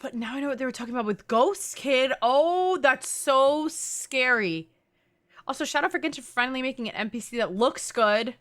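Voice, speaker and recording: Female game streamer, slightly high and bright, chatty off-the-cuff reactions to gameplay. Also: lively intonation, normal speed. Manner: reactive, playful. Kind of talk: casual live playthrough commentary.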